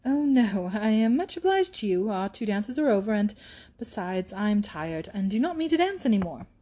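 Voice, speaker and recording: A woman's voice: medium pitch, big pitch swings, moderate pace, dark tone. A woman voicing a modest, earnest young woman in a period storybook, polite, reserved and plain-spoken.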